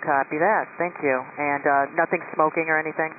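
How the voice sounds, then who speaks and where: Male pilot, slightly high voice, informal and polite, static-filled cockpit radio. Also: steady tone, a little fast. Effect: aircraft radio. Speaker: airline pilot. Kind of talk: casual radio exchange. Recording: noisy narrowband cockpit radio transmission.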